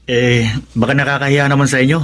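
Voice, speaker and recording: A male houseguest played by an actor in a radio drama, polite, bashful and grateful. His voice sits at an ordinary middle pitch. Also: flat delivery, quick pace, fairly bright tone, natural voice. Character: bashful, grateful visiting cousin, man. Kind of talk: Tagalog radio drama acting.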